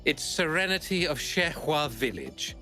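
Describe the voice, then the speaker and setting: An actor playing a smug, evasive art dealer in a video game, with a condescending, theatrical manner. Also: male voice, a little high, big pitch swings, measured pace, sharp tone, faint music behind, heavily acted. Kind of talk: dramatic video game voice acting.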